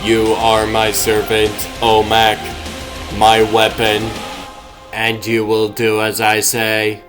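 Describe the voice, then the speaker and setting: Casting: man as imperious emotionless AI overlord, precise formal diction, measured pace, music bed. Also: lowish voice, monotone. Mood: cold, commanding. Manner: clinical, formal, commanding. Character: cold, domineering, emotionless artificial intelligence overlord. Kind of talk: comic dub voice acting.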